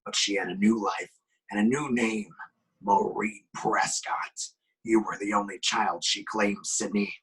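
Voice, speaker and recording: A man voicing a bitter, vengeful male killer, brooding and deliberate, in an amateur horror script reading. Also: on the low side, steady tone, a bit bright, breathy edge, exaggerated voice, low-quality recording. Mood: bitter menace.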